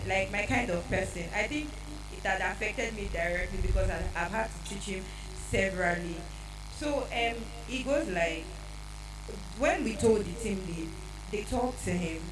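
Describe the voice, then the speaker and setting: A young woman in the audience of a panel, asking a question in a halting way with many fillers. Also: medium pitch. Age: young adult. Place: large church hall. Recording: roomy hall, distant mic, hum.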